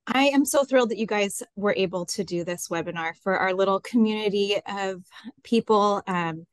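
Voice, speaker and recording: A woman's voice: mid-range voice, no echo. A woman facilitating a webinar, putting questions to guests in a conversational way. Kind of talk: conversational interviewing.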